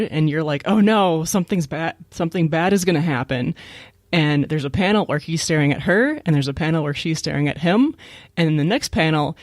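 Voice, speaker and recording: Female podcast co-host, conversational, lowish voice in a very dry, close recording. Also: no room sound. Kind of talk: casual comics chat.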